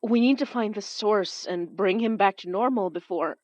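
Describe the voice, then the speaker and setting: A woman playing a protective young magic-user in an audio drama, speaking haltingly as if under strain. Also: medium pitch, darkish tone, no room sound, lightly acted. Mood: strained, urgent. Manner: halting, stammering under pressure. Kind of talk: audio fiction drama acting.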